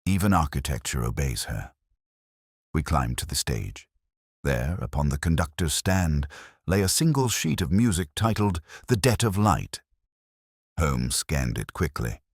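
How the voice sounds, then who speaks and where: Very deep-voiced man narrating a mystery. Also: big pitch swings, slightly rough, no echo, clean studio sound. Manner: measured, dramatic storytelling. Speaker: narrator of detective audio fiction. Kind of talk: scripted first-person mystery narration, audiobook reading.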